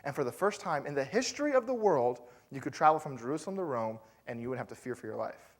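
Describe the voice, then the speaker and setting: A man's voice: mid-range voice, expressive, fast. A man preaching a sermon as pastor, telling the story in a loose, conversational way with fillers and asides to the congregation.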